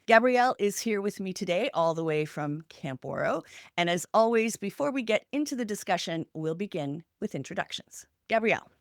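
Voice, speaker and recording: A woman hosting a podcast from prepared notes, speaking emphatically and listing her points deliberately. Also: mid-range voice, lively intonation, no echo, clean studio sound. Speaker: podcast host.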